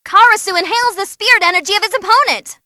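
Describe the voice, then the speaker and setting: An actress voicing an excitable, cheeky young female ring announcer, giving animated, theatrical fight commentary in a video game. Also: very high-pitched, fast, no room sound, studio quality, heavily acted. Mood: excited commentating. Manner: perky, theatrical, wisecracking. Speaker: match commentator.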